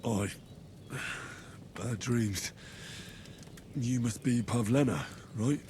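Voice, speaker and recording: A man's voice: mid-range voice, drawn-out words, background noise. An actor playing an earnest young man bent on avenging his parents in a video game, plain-spoken and serious. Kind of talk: video game cutscene dialogue.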